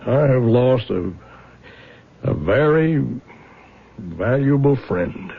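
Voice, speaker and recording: Gravelly, weary old father. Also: mid-range voice, animated, slow pace, narrow, tinny audio, exaggerated voice. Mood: weary, subdued. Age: older. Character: weary aging widowed father, troubled and honest. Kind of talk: radio drama acting. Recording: thin band-limited old radio recording.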